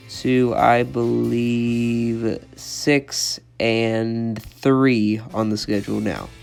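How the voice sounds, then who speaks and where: A young man with a cold, sounding congested, giving college football predictions in an opinionated, repetitive way as a channel host. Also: mid-range voice. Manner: monotone, opinionated, repeats "I think".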